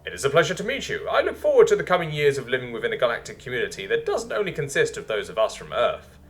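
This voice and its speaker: A man voicing an irreverent, easily amazed Earth leader with a casual, wry, excitable manner in a story. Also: mid-range voice, fast talker. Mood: delighted, amazed.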